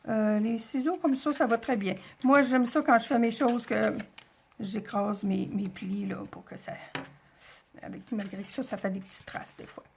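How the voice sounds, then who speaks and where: A woman's voice: on the high side, steady tone, moderate pace, darkish tone, slight background noise. A woman with a Quebec French accent hosting a craft tutorial, talking informally step by step and thinking aloud as she goes. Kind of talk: casual step-by-step demonstration.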